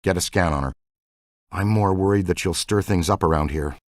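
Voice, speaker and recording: A man's voice: low-pitched, moderate pace, no room sound, studio quality, natural voice. A man voicing an eager, good-natured ghost-hunting scientist in a video game, earnest and technical. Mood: earnest, explaining. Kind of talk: video game dialogue, battle shouts and banter.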